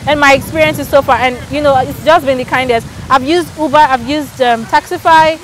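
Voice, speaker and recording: A woman's voice: high-pitched, fairly even intonation, normal speed, a little hiss or hum, rough recording. A Nigerian woman with a Nigerian accent, chatting candidly and with animation as an interviewee. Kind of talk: casual outdoor interview.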